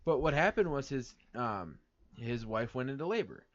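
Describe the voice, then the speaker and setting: A man's voice: mid-range voice, very animated, normal speed. A man from the United States co-hosting a casual chat show, rambling with many fillers and repeated words. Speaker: podcast co-host. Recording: dull lo-fi sound.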